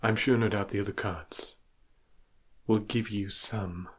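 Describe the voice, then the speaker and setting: A man playing a cryptic, solemn tarot card come to life, speaking softly in measured phrases with some whispering in an ASMR roleplay. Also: lowish voice, fairly even intonation, slightly rough. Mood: cryptic, calm. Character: solemn mystical tarot card figure, a cryptic male guide. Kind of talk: ASMR roleplay, slow soft-spoken with whispers.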